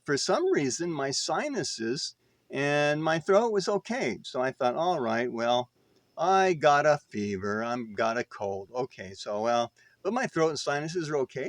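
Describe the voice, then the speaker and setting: A man's voice: a little high, no echo. Folksy older man, rambling storyteller, dry sound. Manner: folksy, self-deprecating. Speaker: vlogger. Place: inside an RV.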